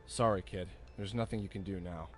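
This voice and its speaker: A man's voice: deep voice, steady tone, fairly quick, soft background music, lightly acted. A man playing a blunt, matter-of-fact investigator in mystery visual novel dialogue. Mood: grave.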